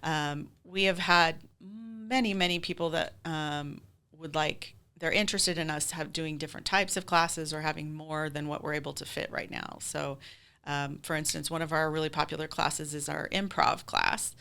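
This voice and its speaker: A woman's voice: low voice, fairly even intonation, normal speed, creaky, bright, forceful tone, smooth. A woman speaking conversationally as a theater group president being interviewed, explaining things in chatty, run-on detail.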